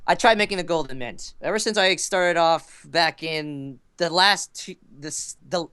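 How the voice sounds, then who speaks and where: A young man chatting casually as a podcast guest, excitable and sweary, poking fun at himself with theatrical bursts. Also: on the high side, a little slow, smooth. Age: young adult. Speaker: podcast guest, amateur voice actor and fandubber. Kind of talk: casual chat.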